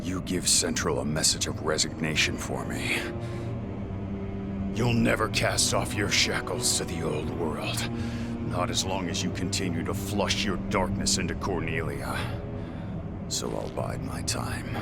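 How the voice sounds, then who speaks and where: An actor playing an angry, defiant dark warrior hungry for power in a video game, snarling his lines theatrically. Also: extremely low pitch, animated, a little slow, creaky, heavily acted. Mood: bitter, vengeful. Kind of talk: intense dramatic video game acting. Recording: loud game music behind.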